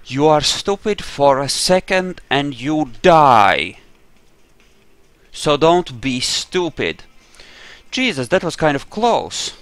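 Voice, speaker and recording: A man with a clear, smooth voice commentates on a game he is playing. He speaks at an unhurried pace, thinking aloud as he goes. His manner is offhand and peppered with casual swearing. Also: on the high side, very animated, a bit bright, no room sound. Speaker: gamer narrating his own playthrough.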